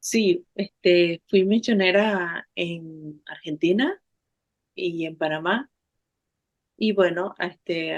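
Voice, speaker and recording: A woman from Venezuela speaks casually and hesitantly, often pausing with filler words. Her voice sits on the lower side for a woman. Her audio sounds dull and lo-fi, like a remote connection. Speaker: podcast co-host. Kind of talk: casual conversational talk. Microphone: remote call.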